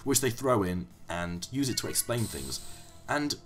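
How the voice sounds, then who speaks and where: A young man, hoarse from being ill, giving rambling, casual commentary on a game he is playing. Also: lowish voice, animated, unhurried, fairly bright tone, faint music behind. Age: young adult. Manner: rambling, self-correcting explanations. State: hoarse from illness. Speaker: let's play commentator.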